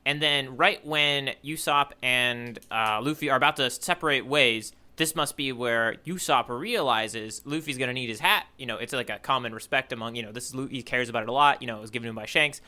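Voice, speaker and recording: A man co-hosting a podcast, casually recapping a story in a halting way with frequent "you know" asides. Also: mid-range voice, sharp tone. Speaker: podcast co-host recapping a manga chapter.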